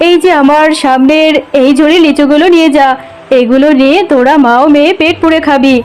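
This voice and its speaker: A woman's voice: sits high, fairly even intonation, fairly quick, darkish tone, faint music behind, lightly acted, poor audio. A woman voicing a snobbish, stingy, scornful neighbour mother in a children's cartoon. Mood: condescending, smug. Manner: scornful, condescending.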